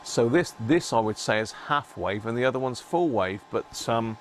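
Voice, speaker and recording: A man hosting a live repair stream, chatting casually to viewers and thinking aloud in short, halting phrases. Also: medium pitch, animated, slight background noise, poor audio.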